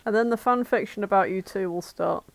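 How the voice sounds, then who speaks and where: A woman's voice: mid-range voice, brisk, gravelly. A British woman co-hosting a podcast in casual comedic chat, with a playful, teasing manner. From Britain. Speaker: podcast co-host.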